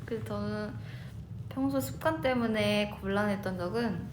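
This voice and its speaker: A woman's voice: mid-range voice, normal speed, background noise. A young woman co-hosting a radio-style show, chatting in an earnest, agreeable way. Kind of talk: casual radio chat. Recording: echoey studio with background music. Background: background music.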